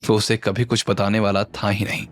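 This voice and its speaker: A man's voice: fairly low pitch, level tone, fairly quick. A man narrating a scripted audio drama story in a dramatic style. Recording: music under the voice.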